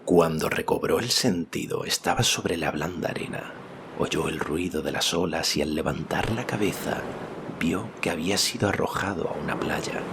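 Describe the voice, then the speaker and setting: A man's voice: sits low, gravelly, noisy background. A man narrating a fantasy audiobook in a dramatic, scripted storytelling style. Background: loud music.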